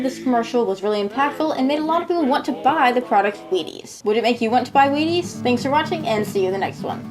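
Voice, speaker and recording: A woman's voice: medium pitch, a little mellow. A woman hosting a casual explainer and reaction video, chatting to viewers with conversational asides. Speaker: host of a reaction show.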